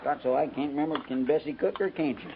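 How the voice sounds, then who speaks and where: An actor playing a restless, complaining cavalry trooper in a 1950s radio western, with a folksy rural American accent. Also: male voice, fairly high pitch, quick pace, slight background noise, lightly acted. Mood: grumbling, exasperated. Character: grumbling, restless, love-starved male cavalry trooper. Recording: tinny 1950s radio recording.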